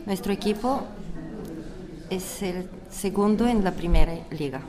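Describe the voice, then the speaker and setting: A young woman from Bulgaria with a Bulgarian accent, answering interview questions in slightly halting non-native Spanish. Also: medium pitch, crowd noise. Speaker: interview guest.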